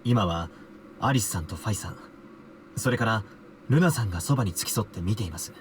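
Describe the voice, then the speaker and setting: A man's voice: lowish voice, lively intonation, very fast, a little hiss or hum, natural voice. A man playing a polite, composed character who speaks formally in a Japanese video game.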